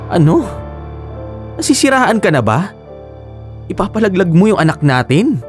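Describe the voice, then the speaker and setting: A man's voice: high-pitched, big pitch swings, normal speed, faint music behind. A man with a Filipino accent playing an anxious young expectant father in a radio drama. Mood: shocked, upset. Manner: sighing, hesitant, earnest.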